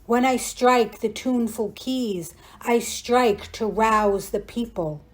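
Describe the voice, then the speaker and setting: A woman reads poetry aloud with a slightly raised pitch. Her delivery is very slow and declamatory, with each phrase set out deliberately. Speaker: poetry reader. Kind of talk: measured poetry recitation.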